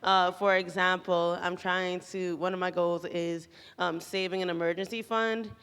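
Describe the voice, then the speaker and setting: A woman's voice: fairly low pitch, level tone, moderate pace, a bit bright, clear, resonant tone. A woman from the Bahamas giving a casual testimonial to an audience in a chatty, informal storytelling way. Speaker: programme participant giving a testimonial. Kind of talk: casual, anecdotal public testimonial. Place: large echoey room at a graduation event. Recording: echoey hall, long reverb.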